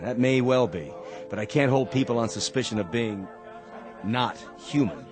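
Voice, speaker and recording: An actor playing an earnest, uneasy American police officer, plain and measured, in a radio drama. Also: male voice, mid-range voice, animated, music in the background, lightly acted. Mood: businesslike.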